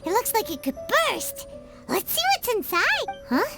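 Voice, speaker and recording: A woman voicing a tiny, excitable, childlike fairy companion with a squeaky voice in an anime video game. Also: normal speed, heavily acted. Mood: amazed. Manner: exclamatory, refers to herself in third person. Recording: game music loud behind.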